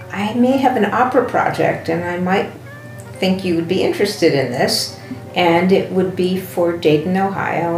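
A woman's voice: low-pitched, a little mellow. A woman with an American accent telling her story conversationally in an interview. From United States. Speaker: composer being interviewed. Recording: echoey room with faint background noise.

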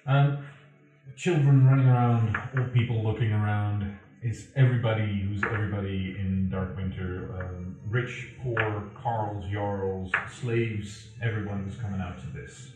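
Man, game master, slow halting narration, ums and uhs, distant mic. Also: on the low side, fairly even intonation, highs cut off, over music. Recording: echoey room, far from the mic.

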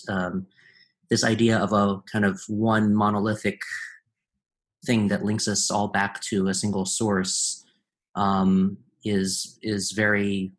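A man talks as a guest in an easygoing conversation, his voice sitting a little low. He speaks thoughtfully, pausing and reaching for the right words as he goes. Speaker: guest composer. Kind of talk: casual conversation.

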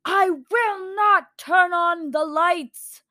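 A woman voicing a scornful, imperious male god-creature in a story, speaking slowly and with contempt. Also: lowish voice, mellow, no echo, studio quality. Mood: defiant, menacing.

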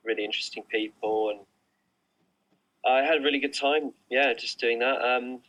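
A man's voice: medium pitch, fairly even intonation, normal speed, fairly bright tone, poor audio. A middle-aged man, an artist, answering interview questions online in a casual, hesitant way with many fillers and restarts. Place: remote online recording.

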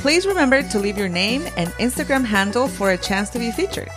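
A woman co-hosting a casual podcast in an expressive, chatty way. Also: fairly high pitch, a little fast, music in the background. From Mexican heritage. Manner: giggly, expressive. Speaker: podcast host. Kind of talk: casual animated chat.

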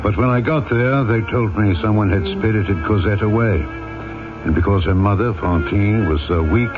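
A man's voice: on the low side, normal speed, lightly acted. A man playing a noble, resolute former convict turned mayor in an old-time radio drama, solemn and earnest as he tells and acts out his story. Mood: solemn, reflective. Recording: tinny, narrow-band old radio recording with music.

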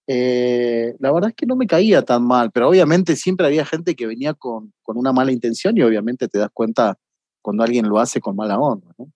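An Argentine man talks easily in a relaxed interview, with a steady mid-range voice. He stops often on fillers as he thinks. The sound is close and dry. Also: mid-range voice, brisk, no room sound, muffled recording. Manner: chatty, many fillers. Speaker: guest. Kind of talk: casual conversation.

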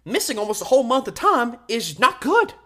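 A man hosting a sports radio show solo, giving opinionated, emphatic commentary with deliberate pauses and rhetorical questions. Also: very high voice, sing-song, pushed and bright.

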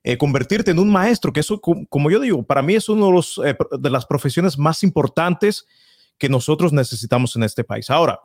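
Podcast host in a dry, close sound. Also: male voice, on the high side, animated, brisk, a little mellow, no echo. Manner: summarizing, thoughtful questions. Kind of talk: interviewing.

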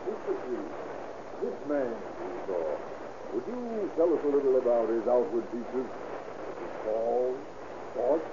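An actor playing a formal, composed courtroom official, courteous and probing, in 1930s radio drama style. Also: male voice, medium pitch, big pitch swings, measured pace. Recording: muffled, hissy old radio recording.

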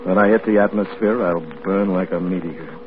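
A man's voice: sits low, fairly even intonation, normal speed, background noise, close to an everyday voice. An actor playing a remorseful, earnest spaceman facing death in a 1950s radio drama. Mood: wistful resignation. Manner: brooding, confessional, earnest. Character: remorseful doomed spaceman drifting toward death. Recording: muffled 1950s radio recording.

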